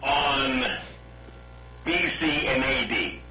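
A man from Toronto calling into a show, loud and a little apologetic, stumbling over his words. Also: medium pitch, drawn-out words, very noisy. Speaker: caller.

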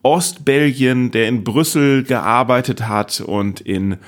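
A man's voice: medium pitch, slow pace. A man hosting a comedy podcast in casual chat, playful, repeating words for comic effect. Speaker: podcast host.

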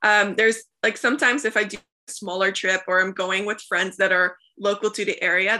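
A woman's voice: medium pitch, bright, forceful tone. A woman in her twenties from the Flemish part of Belgium, a native Dutch speaker, chatting easily in an interview about her travels and peppering her answers with "like". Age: 20s. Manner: chatty, frequent "like" fillers. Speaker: guest, travel content creator.

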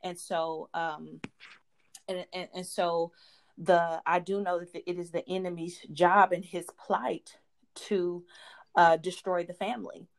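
A woman's voice: lowish voice, little pitch movement, slow pace, creaky. A married woman speaking as a podcast guest, giving an earnest faith-based testimony, often repeating words and restarting her sentences. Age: adult.

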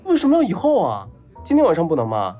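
Whiny, lovestruck rich brat, swooping delivery. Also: male voice, on the high side, huge pitch swings, quick pace, dark tone, soft background music. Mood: eager, chatty. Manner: eager, whiny, childishly earnest chattering. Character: lovestruck spoiled young rich man, clingy and naive. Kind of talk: Chinese audio drama acting.

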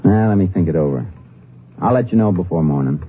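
Gruff american jailbird, real low voice, curt n wary, tinny 50s radio crime show. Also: male voice, lively intonation, a little fast. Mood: guarded, terse. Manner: gruff, curt, distrustful drawling talk. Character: undercover Texas Ranger posing as surly, guarded male jailbird. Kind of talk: 1950s radio drama acting. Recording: tinny 1950s radio recording, faint music.

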